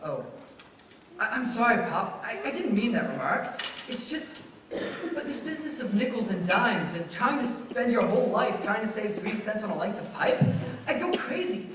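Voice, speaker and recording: A young man acting an eager, restless young dreamer in a stage radio play, with a hesitant, excitable delivery. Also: high voice, moderate pace. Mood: earnest, frustrated. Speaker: school play actor. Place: school theater stage. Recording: echoey hall, distant mic, faint noise.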